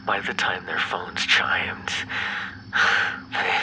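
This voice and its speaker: A man playing an obsessive, bitter, menacing ex-lover in an ASMR roleplay, speaking in a breathy, brooding half-whisper. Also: sits low, steady tone, background noise. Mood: mocking menace. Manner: slow, brooding, theatrically menacing. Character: obsessive, bitter yandere male vampire ex-lover, menacing captor.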